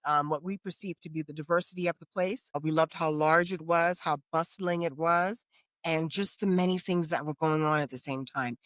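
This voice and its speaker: A woman's voice: low-pitched, no room sound. A middle-aged American woman answering questions as a guest in a conversational podcast interview. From United States.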